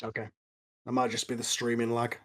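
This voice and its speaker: A man's voice: mid-range voice, monotone, fairly quick. A man hosting an informal film commentary, explaining things casually with a hesitant, chatty delivery. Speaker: host and writer of an audio drama.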